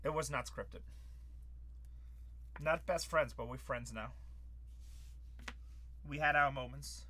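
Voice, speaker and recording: A man's voice: medium pitch, slow pace, pauses often, sharp tone. A man in his forties hosting a live card-break stream, calling out cards and bantering with chat. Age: 40s.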